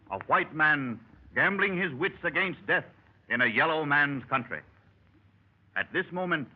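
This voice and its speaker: A man's voice: fairly high pitch, lo-fi sound. A man playing a formal announcer introducing an adventure serial in 1930s radio style, on a vintage recording.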